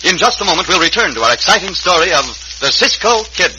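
A man's voice: high voice, sing-song, fast talker, pushed and bright, a little hiss or hum, low-quality recording. A man with an American accent narrating a thrilling old-time radio serial in a dramatic announcer style. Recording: vintage radio recording. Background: music.

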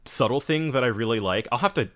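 A man co-hosting a casual podcast, enthusiastic and quick to laugh. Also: medium pitch, expressive, a bit bright. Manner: enthusiastic, laughs often, does goofy quote voices. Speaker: podcast co-host.

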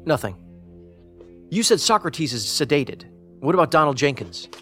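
An actor playing an analytical, aloof federal investigator in an audio drama, with a deliberate, cerebral manner. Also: male voice, fairly high pitch, sing-song, fairly bright tone, faint music behind. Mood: pensive.

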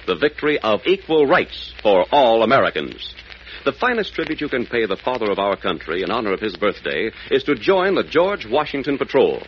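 A man announcing a scripted 1940s radio public appeal in a solemn, declamatory manner. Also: mid-range voice. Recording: muffled old radio recording, faint noise.

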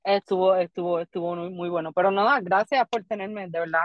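A woman's voice: fairly low pitch, fairly even intonation, a little fast, no room sound, lo-fi sound. A young adult woman with a Puerto Rican accent, a comedian guest chatting casually in rambling Spanglish with lots of restarts. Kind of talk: casual podcast chat.

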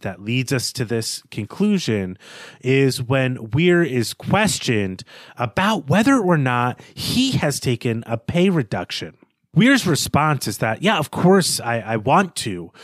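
A man's voice: mid-range voice, dry recording, clean studio sound. A man co-hosting a podcast, talking through his analysis of a story in an explanatory way. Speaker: podcast co-host.

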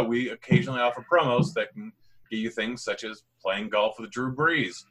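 A man hosts a fantasy basketball podcast with a bright, forceful voice. He runs through sponsor reads and matchups in an upbeat, rapid-fire way. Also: medium pitch, rough recording. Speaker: podcast host.

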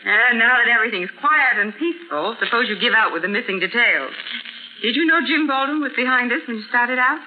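A woman's voice: fairly high pitch, animated, fairly quick, natural voice. A woman playing an inquisitive, friendly detective's assistant in a 1940s radio drama. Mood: curious, friendly. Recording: tinny, noisy 1940s radio recording.

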